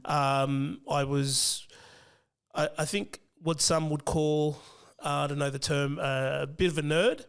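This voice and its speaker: Gravelly Australian man, hesitant chat. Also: on the high side, steady tone, measured pace. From Western Sydney, Australia. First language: Fijian. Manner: hesitant, many ums and restarts, laughs easily. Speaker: financial planner guest. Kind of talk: casual interview chat.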